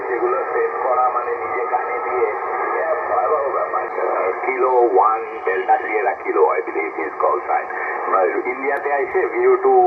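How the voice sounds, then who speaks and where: A man chatting casually as a ham radio net controller, mixing Bengali with English phrases. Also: medium pitch. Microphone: amateur radio transmission. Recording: very noisy, narrow-band shortwave radio link.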